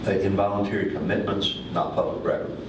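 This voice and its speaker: A middle-aged man, a county court clerk, answering questions at a public forum in a measured, explanatory way. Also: low voice, flat delivery. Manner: measured, explanatory, with occasional fillers. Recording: very echoey hall, voice from far off, noisy.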